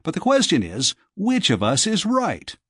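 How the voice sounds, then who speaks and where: A man's voice: high-pitched, huge pitch swings, unhurried, a bit bright, husky, no echo, studio quality, voice pushed up, heavily acted. A man voicing an argumentative male exile in a science fiction audiobook, speaking emphatically. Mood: challenging. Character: insistent male exile recalling a floating-city Earth.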